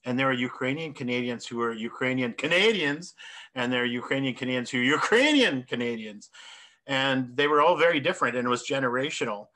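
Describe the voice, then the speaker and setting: A man's voice: mid-range voice, animated, fairly quick, sharp tone. A middle-aged man speaking reflectively in a panel discussion over a video call from a home office. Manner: reflective, gestures while talking, self-correcting. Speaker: artist presenter. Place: home office with bookshelves and plants. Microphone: video call webcam.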